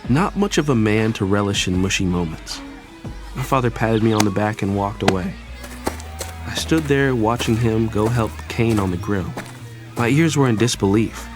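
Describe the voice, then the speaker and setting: An actor playing a cheeky young student son and brother in an audio drama, playful but sincere, with reflective first-person narration. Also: male voice, on the low side, animated, moderate pace, close to an everyday voice. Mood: reflective, warm. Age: young adult. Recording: music prominent behind voice.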